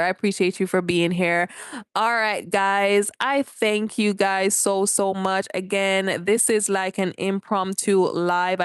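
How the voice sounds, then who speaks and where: Jamaican woman, stream host, smooth low-ish voice, dry close sound, friendly chatter with viewers. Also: no echo. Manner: chatty, greets viewers by name and reads comments aloud. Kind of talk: casual live-stream chat. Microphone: phone held for a vertical live stream.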